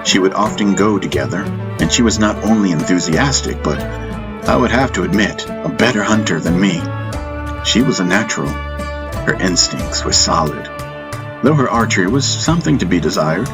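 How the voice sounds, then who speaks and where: A man narrating a first-person fantasy audiobook in a measured way, with dramatic pauses. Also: low-pitched, expressive. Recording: music bed under the voice. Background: background music.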